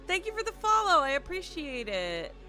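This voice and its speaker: Bright-voiced woman streamer over music. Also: high-pitched, sing-song, moderate pace. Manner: playful, chatty, self-deprecating. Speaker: livestreamer and VTuber reading a visual novel aloud. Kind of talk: casual stream chat mixed with expressive read-aloud narration. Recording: music playing behind the voice. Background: game music.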